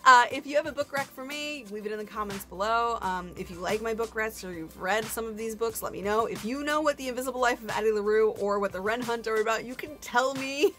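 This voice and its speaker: A woman's voice: a little high, a little fast, few pauses, fairly bright tone, faint music behind. A woman hosting a book review in a casual, chatty way, with informal, self-deprecating, slangy asides.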